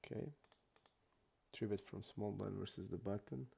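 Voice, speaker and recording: A young man from Croatia, not an English speaker, chatting casually and haltingly while streaming poker. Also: fairly low pitch, gravelly, mellow, husky. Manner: halting non-native English, many restarts and fillers.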